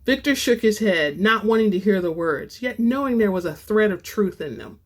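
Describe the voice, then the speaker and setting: Expressive woman hosting a book reading. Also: medium pitch, moderate pace. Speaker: host and reader of book samples. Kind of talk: casual chat and dramatic book narration.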